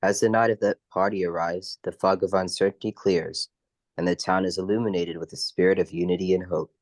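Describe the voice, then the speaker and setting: A man's voice: low voice, fairly even intonation, a little fast, no echo. An older man giving scripted narration for a radio play.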